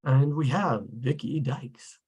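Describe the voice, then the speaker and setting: A man's voice: mid-range voice, takes it slowly, a little mellow, husky. A man hosting an online table read, casually introducing participants and thanking them.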